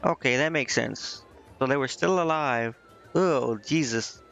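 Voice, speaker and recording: Man streaming casually, gravelly fry in his voice. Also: mid-range voice, measured pace, a bit bright. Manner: informal, reacting and thinking aloud. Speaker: live game streamer. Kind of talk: casual game commentary, reading dialogue aloud. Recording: dull, band-limited sound with music. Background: game music.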